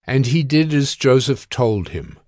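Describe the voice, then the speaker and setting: A man narrating a dramatized scripture reading in a steady, measured way. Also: mid-range voice, no echo, clean studio sound.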